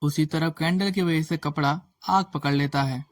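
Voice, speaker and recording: A man's voice: fairly high pitch, fairly even intonation, moderate pace, dry recording, studio quality. A man narrating a scripted moral story as a storyteller. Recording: faint background music.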